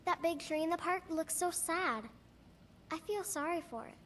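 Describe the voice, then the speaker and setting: A woman's voice: very high voice, normal speed, fairly bright tone, slight background noise. A woman playing an earnest, playful young girl in a video game. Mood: sad. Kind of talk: video game character dialogue.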